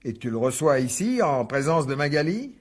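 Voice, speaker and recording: A man's voice: mid-range voice, sing-song, moderate pace. An actor playing an agitated, sarcastic middle-aged divorced father in a French radio drama, biting and insistent. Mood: suspicious. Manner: biting, insistent, repeats himself.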